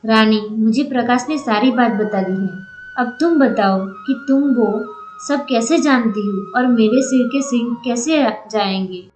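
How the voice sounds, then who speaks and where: Woman as a high-voiced, trusting young village woman. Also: unhurried, a little mellow, echoey room, faint music behind, close to an everyday voice, poor audio. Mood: questioning. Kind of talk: Hindi cartoon story dialogue.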